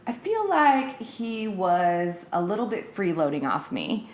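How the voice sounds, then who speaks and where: A woman's voice: medium pitch, sing-song, unhurried. A woman in her thirties, a podcast guest, sharing personal stories in an informal, conversational way. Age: 30s. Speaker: podcast guest, hair stylist. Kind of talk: casual chat, personal anecdotes. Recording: echoey room, clear background noise.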